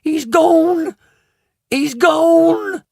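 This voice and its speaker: Storybook mum in a panic, screeching high, voiced by a man, dry close sound. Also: lively intonation, moderate pace, no echo. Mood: frantic. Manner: wailing, screaming. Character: frantic shrieking mother, middle-aged woman.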